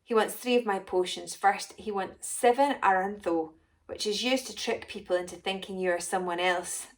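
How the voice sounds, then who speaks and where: A woman voicing a short, rich, excitable female alien potion maker in a children's story, self-important and exclamatory. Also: medium pitch, moderate pace, lightly acted. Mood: puzzled. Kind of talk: first-person children's story narration.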